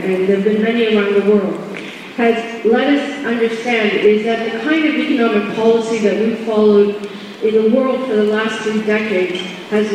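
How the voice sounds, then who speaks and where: Woman with a Midwestern accent, echoey hall. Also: a little high. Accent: American Midwestern. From India. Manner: earnest, measured, with fillers and restarts. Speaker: conference speaker. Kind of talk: conference talk. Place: large conference hall. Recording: echoey hall recording from far off, background noise.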